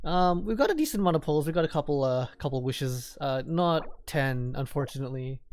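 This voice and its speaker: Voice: medium pitch, expressive, brisk, a little mellow. A game streamer giving casual live commentary, rambling and repeating phrases while thinking aloud.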